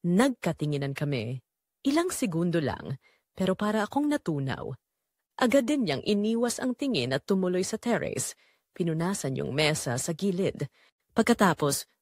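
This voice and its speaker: Lively Filipino woman narrating a story. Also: mid-range voice, dry recording, clean studio sound. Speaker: narrator of an audio drama. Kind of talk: scripted first-person story narration.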